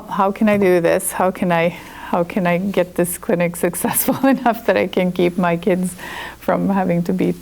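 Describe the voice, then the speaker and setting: A woman's voice: on the low side, creaky, a little mellow, poor audio. A woman in her fifties from Sweden with a Swedish accent, a chiropractor telling her personal story to an audience in a candid, chatty way. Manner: candid and chatty, with frequent restarts. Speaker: chiropractor and guest speaker.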